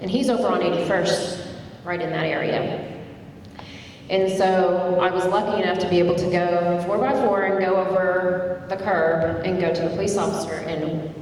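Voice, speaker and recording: A woman's voice: on the low side, moderate pace. Middle-aged woman telling a story in a hall. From Tulsa, Oklahoma, United States. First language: Turkish. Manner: conversational, animated when retelling events. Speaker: speaker at a community dialogue event. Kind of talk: personal storytelling speech. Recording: echoey hall, distant mic, faint background noise.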